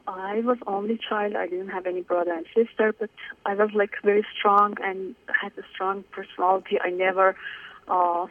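A young woman from Iran calling in to a radio show, talking hesitantly with many fillers as she searches for words. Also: mid-range voice. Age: young adult. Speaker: caller. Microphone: telephone. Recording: tinny phone line.

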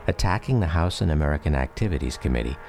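A man narrating a radio drama as a grown son recalling his father, in measured, reflective first-person storytelling. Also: a bass voice, expressive, darkish tone. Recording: faint noise behind.

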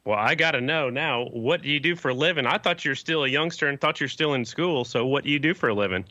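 Man, podcast host, friendly, chatty, forceful bright voice, dry sound. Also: mid-range voice, quick pace, no echo, lo-fi sound. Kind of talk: casual interview.

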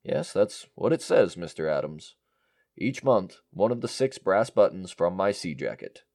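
Guy acting as stuffy formal lawyer in a radio play, deep voice, very dry recording. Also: lively intonation, a little mellow, dry recording, heavily acted. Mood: firm, officious. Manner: measured, formal, officious. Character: formal, unflappable male estate attorney. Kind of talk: audio drama acting, reading a will.

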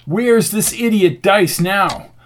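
A man voicing a commanding male leader in an audiobook, worried for his friend, terse and forceful. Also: high voice, takes it slowly, a little hiss or hum, pitched above the performer's own voice.

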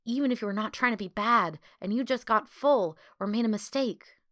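A woman's voice: on the high side, moderate pace, no echo, clean studio sound, pitched above the performer's own voice, lightly acted. Woman playing a frightened young institutionalized boy. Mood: fearful. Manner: cautious, hushed warnings.

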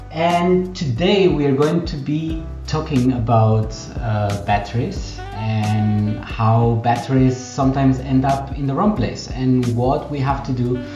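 A man's voice: mid-range voice, roomy sound, highs cut off. A man hosting a podcast interview, speaking unhurriedly with frequent fillers. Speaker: podcast host.

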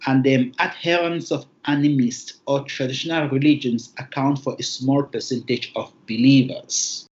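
Cameroonian-accented man, native Lamso speaker, measured formal interview answers, mid-pitched voice, slightly dull lo-fi recording. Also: fairly even intonation. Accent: Cameroonian English. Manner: careful, formal phrasing with thoughtful pauses. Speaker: interview guest.

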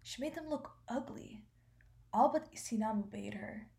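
A woman's voice: on the high side, measured pace, creaky, mellow. An actress playing a stern woman who recounts family history as a storyteller in a radio play. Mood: stern, grave.

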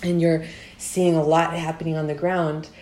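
A woman interviewing a guest on a podcast, asking friendly, measured questions. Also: low-pitched, level tone, mellow. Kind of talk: conversational interview. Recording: echoey room.

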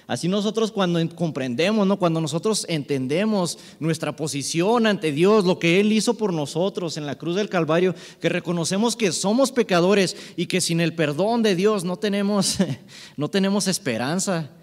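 A man's voice: high-pitched, moderate pace. A man preaching an informal sermon in a conversational way, often adding short rhetorical tag questions. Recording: reverberant hall, long echo.

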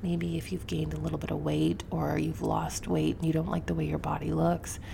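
Low, creaky-voiced woman podcasting, slightly sniffly. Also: little pitch movement, normal speed, dark tone, a little hiss or hum. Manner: confessional, candid, informal with some swearing. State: sniffly from allergies. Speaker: podcast host. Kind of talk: reflective solo podcast talk to listeners. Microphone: close podcast microphone.

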